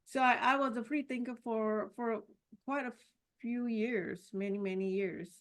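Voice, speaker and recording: A middle-aged woman from Singapore, a podcast guest telling her life story in a casual, reflective way with many pauses and restarts. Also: a little high, steady tone. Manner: warm, reflective, with frequent "you know" and restarts. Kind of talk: personal story in a casual interview.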